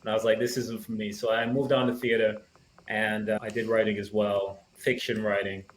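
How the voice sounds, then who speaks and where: A man in his thirties with a subtle blend of Caribbean and American accent talks in an easygoing, reflective way. He pauses often with small fillers. The room sounds echoey, with a faint hum behind him. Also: fairly low pitch, flat delivery. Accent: mild Caribbean-American mix. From Barbados. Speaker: screenwriter guest. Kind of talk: relaxed interview conversation.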